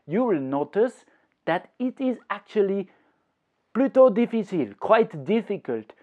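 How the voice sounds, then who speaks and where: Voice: mid-range voice, big pitch swings, unhurried. A language tutor giving a video lesson, explaining didactically, repeating points, checking in often and dropping words into English. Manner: checks in with "okay?" and "you know". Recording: thin, narrow phone-like sound.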